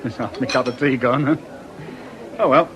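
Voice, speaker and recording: An actor playing a wry police detective inspector in a radio drama, politely probing and insinuating. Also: male voice, mid-range voice, moderate pace, natural voice. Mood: probing, needling. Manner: breezy, insinuating, politely needling. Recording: noisy outdoor crowd behind the voice.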